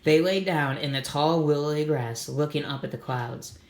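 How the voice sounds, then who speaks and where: A man's voice: on the high side, moderate pace. Guy doing a read aloud for kids, explains stuff simply, roomy echoey sound. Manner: simple, encouraging explanations addressed to children. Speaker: read-aloud host for children. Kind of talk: storybook reading with informal commentary.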